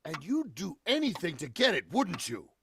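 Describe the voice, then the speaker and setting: A man's voice: high voice, huge pitch swings, normal speed, creaky, exaggerated voice. An actor playing a suspicious, accusing man in 1940s radio horror style, firing pointed, skeptical questions. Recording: loud background noise, insect-like ambience.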